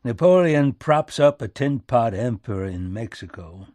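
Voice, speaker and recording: A man's voice: mid-range voice, animated, unhurried, a little mellow, no echo, close to an everyday voice. Man acting a folksy, bitter backwoods American president. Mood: defiant, ironic. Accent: American back-country. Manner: folksy, sardonic, emphatic.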